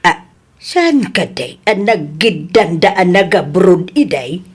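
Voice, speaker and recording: Expressive Ilocano mother voiced by a woman. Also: fairly low pitch, slow, husky, full character voice. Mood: surprised. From Philippines. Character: older Ilocano mother, expressive and easily scandalised. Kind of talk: Ilocano audio drama acting.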